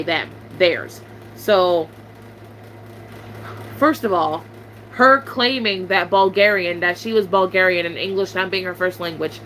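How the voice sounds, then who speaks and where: A bright, sharp-toned young woman, venting to her viewers. She is blunt, sarcastic and fed up. A faint hum and hiss sit under the recording. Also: mid-range voice, poor audio. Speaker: YouTuber.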